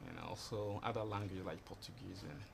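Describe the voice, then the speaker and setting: A man's voice: sits low, steady tone, a little fast, creaky, slight background noise. A young man from Ghana in his twenties, answering questions in a TV studio interview and rambling with frequent "you know" and "you understand". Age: 20s. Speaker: interview guest. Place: TV studio set.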